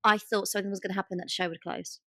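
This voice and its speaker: A woman's voice: medium pitch, level tone, racing, pushed and bright, no echo, studio quality. A young woman co-hosting a podcast, talking candidly and informally with some emphasis. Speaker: podcast co-host. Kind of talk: casual chat.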